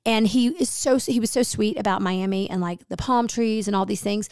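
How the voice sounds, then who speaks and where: A middle-aged woman chatting on her podcast, telling stories and playing out both sides of the conversation. Her voice is a little gravelly and hoarse, with a medium pitch. The sound is close and dry. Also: normal speed, a little mellow, no room sound. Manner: chatty storyteller, acts out quoted dialogue. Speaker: podcast host. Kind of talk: casual chat.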